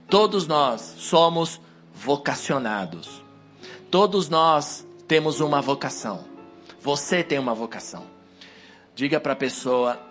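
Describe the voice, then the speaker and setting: A man preaching a sermon to a congregation on a handheld wireless mic, hoarse from a cold, exhorting and rising to emphatic peaks. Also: mid-range voice, fairly bright tone.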